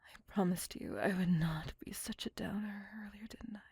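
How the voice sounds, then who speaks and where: A woman voicing a lovesick, possessive vampire lady in an ASMR roleplay, courtly and formal, in a breathy whisper. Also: medium pitch, steady tone, moderate pace, mellow, dry recording, clean studio sound. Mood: tormented, adoring. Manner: formal, old-fashioned, courtly wording. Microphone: close microphone.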